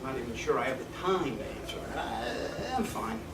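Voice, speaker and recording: A man playing a weary, nostalgic man in his fifties, speaking deliberately and reflectively in a theatrical stage performance. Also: mid-range voice, sing-song. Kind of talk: theatrical stage monologue and dialogue. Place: small indoor theatre stage. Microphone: far camera. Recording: echoey theatre stage, distant noisy camera mic.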